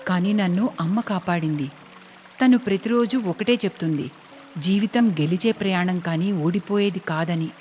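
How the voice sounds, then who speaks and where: A woman's voice: lowish voice, normal speed, darkish tone, pitched below the performer's own voice, natural voice. A woman voicing a plucky young village vegetable seller in a cartoon story, speaking earnestly and respectfully. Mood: earnest, encouraging. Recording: long reverb, music behind.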